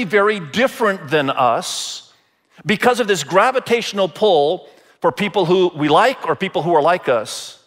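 A man from Indonesia preaching as a pastor, deliberate and repeating phrases for emphasis. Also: high voice, fairly bright tone. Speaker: pastor preaching to a church congregation. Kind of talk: sermon.

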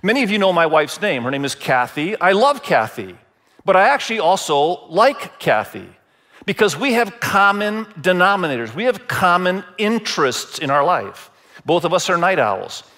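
Higher-voiced man preaching a sermon. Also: very animated, sharp tone. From Indonesia. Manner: deliberate, repeats phrases for emphasis. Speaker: pastor preaching to a church congregation.

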